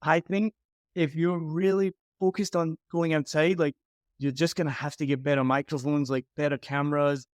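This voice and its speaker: A man's voice: on the high side, flat delivery, moderate pace, no echo, studio quality. A man giving a frank, advising critique of someone's podcast in a casual chat. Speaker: podcast consultant.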